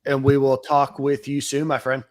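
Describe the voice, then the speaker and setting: A man's voice: mid-range voice, completely flat delivery, normal speed, over a noisy crowd. A man hosting a sports podcast, talking casually with many uhs and some self-mockery. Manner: filler-heavy, uh-laden, self-mocking. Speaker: podcast host.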